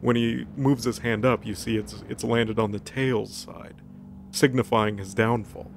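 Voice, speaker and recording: A man's voice: mid-range voice, fairly even intonation, unhurried, gravelly, a little hiss or hum. A man giving a casual, comedic plot recap in flippant slang, acting out dialogue offhandedly. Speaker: host recapping a fictional story. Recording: music bed under the voice. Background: background music.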